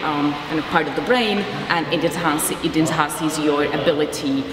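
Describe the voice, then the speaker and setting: Low-voiced woman in a noisy echoing hall. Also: normal speed. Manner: rambling, hesitant, searching for words. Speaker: poet and interview guest. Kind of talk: casual interview talk. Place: large concrete gallery hall indoors. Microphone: distant camera microphone. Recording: echoey hall, distant mic, loud background noise.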